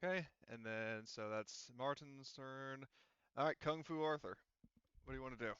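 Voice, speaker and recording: Guy running a tabletop rpg, smooth clear voice, dry slightly dull mic, explains rules haltingly. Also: medium pitch, a bit bright, dry recording. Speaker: game master. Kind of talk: running a tabletop roleplaying session, unscripted.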